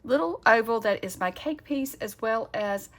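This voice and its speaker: A woman's voice: a little high, expressive, normal speed. A woman with a Southern accent hosting a card-making channel, talking through a craft tutorial in a chatty, rambling way and correcting herself as she goes.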